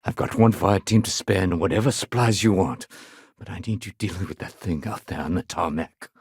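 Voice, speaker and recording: Low-voiced, gruff police sergeant. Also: male voice, a little fast, no echo, clean studio sound, heavily acted. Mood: urgent, commanding. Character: gruff, no-nonsense male police sergeant holding the line. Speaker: video game character. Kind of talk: video game dialogue.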